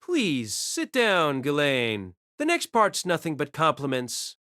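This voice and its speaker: A man's voice: a little high, wildly swooping pitch, slow pace, pushed and bright, no room sound, clean studio sound, heavily acted. A man voicing a polite, wry young boy who is easily flustered, in an audio drama. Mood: calming.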